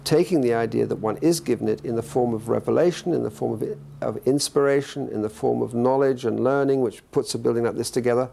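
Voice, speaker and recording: A man's voice: medium pitch, fast talker, gravelly, hardly any pauses, warm tone. An older man, a professor, answering an interview question thoughtfully in a book-lined study, searching for his words as he goes. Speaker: professor interviewed about a cathedral. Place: indoor study lined with bookshelves.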